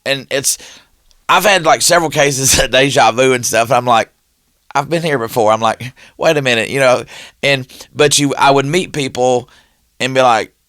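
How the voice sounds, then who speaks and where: A man's voice: medium pitch, moderate pace, dry recording, studio quality. A man, telling stories in casual chat and acting out the dialogue as he goes. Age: 50s. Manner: animated storyteller who acts out quoted dialogue.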